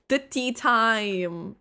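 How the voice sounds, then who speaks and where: A woman's voice: a little high, extremely slow, clear, resonant tone. A woman casually reacting to a story scene of a game she is playing, chatty and amused. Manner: chatty, amused, talks over the game with quiet asides. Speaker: reaction streamer.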